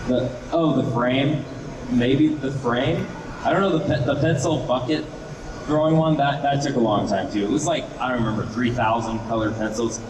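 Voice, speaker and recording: A man's voice: medium pitch, moderate pace. A man giving a casual live demonstration and answering questions in a hesitant, rambling, laid-back way. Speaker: guest wood carver and video maker. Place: large indoor event hall. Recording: echoey noisy event room, distant microphone.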